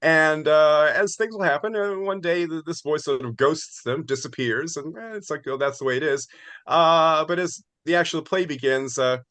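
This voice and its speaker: A man in his sixties talking casually as an interviewed playwright, telling things in a wordy, halting way. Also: on the high side, a bit bright, dry recording.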